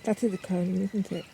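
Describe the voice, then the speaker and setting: A woman speaking reflectively and haltingly, with pauses, as in a poetic audio documentary. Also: medium pitch, creaky, warm tone, slight background noise. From northern England. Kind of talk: reflective, unscripted documentary talk with poetic passages.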